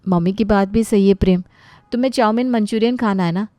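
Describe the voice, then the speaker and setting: A woman's voice: mid-range voice, fairly quick, dark tone, no echo, close to an everyday voice. A woman playing a practical, exasperated young housewife who protests in a dramatized family story.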